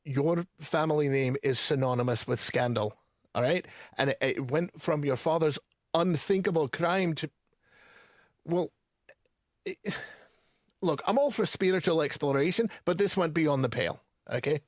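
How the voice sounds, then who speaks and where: A guarded bookshop proprietor played by a man with a slightly high male voice. He picks formal words with care and hesitates, reluctant to be on tape. It sounds like a naturalistic audio drama interview. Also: no room sound, clean studio sound, lightly acted. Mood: agitated, grave. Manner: measured, formal wording, hesitant, reticent.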